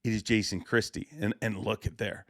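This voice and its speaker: Male podcast host, slightly raspy, excited and emphatic, dry close sound. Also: on the low side, a little fast, no room sound. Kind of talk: casual podcast monologue.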